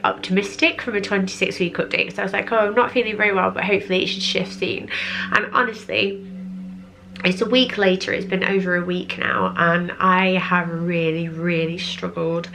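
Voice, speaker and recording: A young woman in a casual vlog, hoarse and congested from a cold, chatting with lots of fillers and drawn-out repeated words. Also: medium pitch, a little hiss or hum, low-quality recording. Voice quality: croaky. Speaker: vlogger. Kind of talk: casual pregnancy update vlog.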